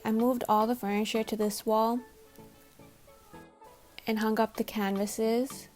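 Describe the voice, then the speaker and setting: A woman's voice: fairly high pitch, a little mellow. A woman whose first language is Tamil gives a casual, chatty, self-deprecating voice-over for a home makeover video. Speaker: DIY home makeover vlogger. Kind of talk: scripted voice-over narration. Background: faint background music.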